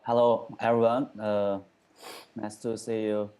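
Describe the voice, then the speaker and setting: A man who is a doctoral student introduces himself on a video call, halting with many fillers. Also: on the low side, level tone, mellow, smooth.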